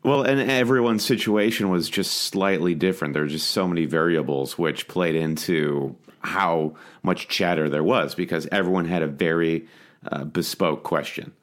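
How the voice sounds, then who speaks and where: A man co-hosting a casual podcast chat, speaking in a measured, matter-of-fact way. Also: low voice. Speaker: podcast co-host.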